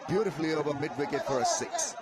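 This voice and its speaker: A man's voice: a little high, huge pitch swings, fast, over loud music, a loud crowd. A man commentating on live cricket, giving descriptive, admiring analysis of the players. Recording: loud stadium noise behind.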